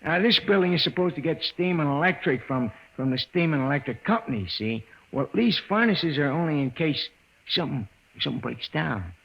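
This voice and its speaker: An actor in an old-time radio drama playing a slow-witted, eager, servile night watchman who stammers and repeats his words. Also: on the high side, expressive, creaky. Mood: eager, simple. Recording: dull lo-fi old radio recording.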